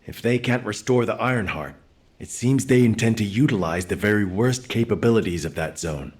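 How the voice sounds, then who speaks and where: Man playing military strategist, fairly low voice, measured, precise, formal, analytical, video game dialogue. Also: expressive, lightly acted.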